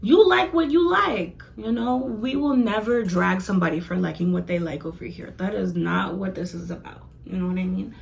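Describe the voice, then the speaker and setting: A young woman chatting casually to her viewers in a rambling review, laughing often and filling pauses with "like" and "um". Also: medium pitch, gravelly. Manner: chatty, giggly, rambling with many fillers. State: losing her voice. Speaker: vlogger reviewing comics.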